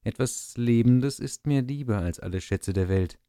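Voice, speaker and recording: A man voicing a greedy, spiteful little imp in a storybook reading, with a high, slow, taunting delivery. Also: mellow, clear, resonant tone, no echo, clean studio sound, close to an everyday voice. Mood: wheedling. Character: spiteful tiny male imp, shrill and greedy. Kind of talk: audiobook reading of a fairy tale.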